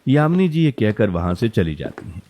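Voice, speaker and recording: A man's voice: fairly low pitch, sing-song, moderate pace, dark tone. A man narrating a scripted family story as a storyteller.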